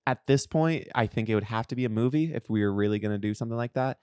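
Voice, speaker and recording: A man's voice: medium pitch, fast talker, darkish tone, clean tone, no room sound. A man co-hosting a podcast in casual, playful pop culture banter. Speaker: podcast co-host.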